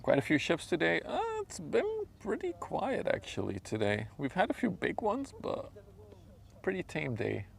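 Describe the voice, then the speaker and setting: Man, Belgian Dutch accent, native Dutch speaker, very creaky gravelly voice, easygoing livestream chat, faint outdoor background noise. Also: on the high side, huge pitch swings, normal speed. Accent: Belgian Dutch (Flemish). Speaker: live streamer, drone pilot. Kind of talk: casual livestream chat and commentary. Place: outdoors on a riverbank.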